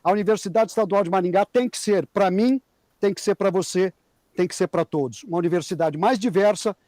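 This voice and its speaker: Effusive host, high-voiced man, dry sound. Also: fairly quick, no room sound. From Brazil. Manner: warm, effusive, promotional. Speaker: program host. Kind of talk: closing a talk show.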